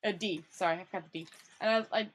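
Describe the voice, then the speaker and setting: A woman's voice: mid-range voice, fast, husky. A woman storyteller reading a book aloud informally, stumbling over words and correcting herself, with casual commentary. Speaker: storyteller, channel host.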